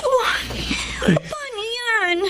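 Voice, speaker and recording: A very high-pitched, girlish little sister in a radio drama. She is an anxious, devoted student with an emotional, plaintive delivery. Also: huge pitch swings, extremely slow, background noise, exaggerated voice. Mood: tearful alarm. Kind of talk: Tagalog radio drama acting.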